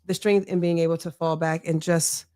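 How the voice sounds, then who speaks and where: A woman's voice: fairly low pitch, little pitch movement, dark tone, clean tone, no echo, studio quality. A middle-aged woman with a strong voice, speaking earnestly and reflectively as an interview guest, often checking in with "right?". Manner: earnest, reflective, measured with frequent "right?" tags. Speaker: mayoral candidate guest. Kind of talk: candid interview talk.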